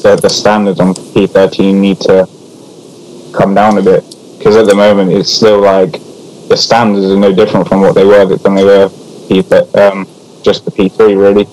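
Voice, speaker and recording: A man in a casual voice chat, talking haltingly with many restarts. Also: low voice, level tone, a little mellow, slight background noise, low-quality recording. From England. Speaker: guest map builder.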